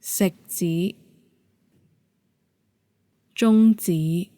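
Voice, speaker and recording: A woman with a Hong Kong Cantonese accent leading a guided meditation in a calm, gentle, measured manner. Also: fairly low pitch, no echo. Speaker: yoga nidra meditation guide.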